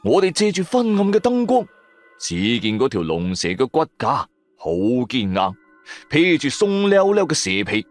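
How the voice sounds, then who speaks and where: A man narrating a first-person horror story for radio in a suspenseful, colloquial manner. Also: medium pitch. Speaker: audio drama narrator. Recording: music under the voice. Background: background music.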